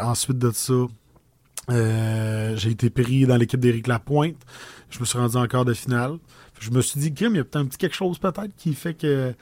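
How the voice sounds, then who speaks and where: A man with a Québécois French accent, telling his story casually as an interview guest, with many pauses and restarts. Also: mid-range voice, darkish tone, no room sound.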